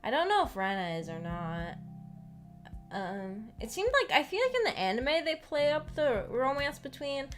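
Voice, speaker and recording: High-voiced woman chatting over music. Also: very animated, normal speed. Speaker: streamer hosting a visual novel book club discussion. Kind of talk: casual rambling discussion. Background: background music.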